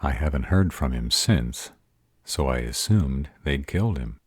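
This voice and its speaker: A man playing an arrogant, protective vampire lover in a roleplay audio drama, husky and deliberate. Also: animated, darkish tone, no echo, clean studio sound, full character voice. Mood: puzzled, serious. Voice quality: husky, very deep voice. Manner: haughty, deliberate delivery.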